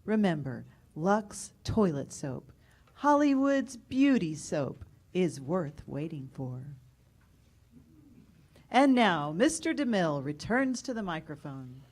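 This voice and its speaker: A woman delivers a 1940s style radio commercial. The voice is fairly low, with animated pitch and an unhurried pace.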